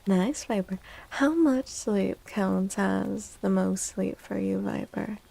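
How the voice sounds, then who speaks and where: A woman livestream host chatting in a soft, gentle ASMR style close to the mic, drifting now and then toward a breathy whisper. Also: mid-range voice, takes it slowly. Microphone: close microphone.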